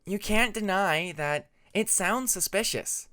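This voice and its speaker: A man's voice: high voice, slow pace, bright, forceful tone, voice pushed up, exaggerated voice. A man voicing a suspicious young dragon who argues in a pointed, challenging manner in a storybook narration. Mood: pointed suspicion.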